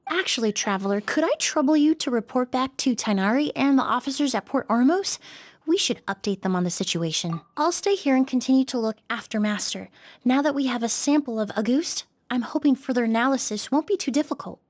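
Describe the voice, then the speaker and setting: A composed perfumer-forensic expert. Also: female voice, high voice, expressive, fairly quick. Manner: precise, composed, explanatory. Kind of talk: video game voice acting. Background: game music.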